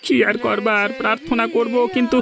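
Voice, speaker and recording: Cartoon farmer played by a male actor: worried, complaining husband, extremely high-pitched and monotone, music underneath. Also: fairly quick, gravelly. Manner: plaintive, complaining. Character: worried village farmer man, grumbling, weary husband. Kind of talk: Bengali cartoon folk-tale voice acting.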